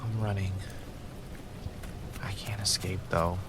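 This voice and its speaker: A low-voiced, haunted man played by an actor in an audio drama, intense and mistrustful. He speaks in short halting phrases with a defiant edge, over clearly audible background noise. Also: fairly even intonation. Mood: fearful. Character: haunted, paranoid, defiant man reliving fractured memories.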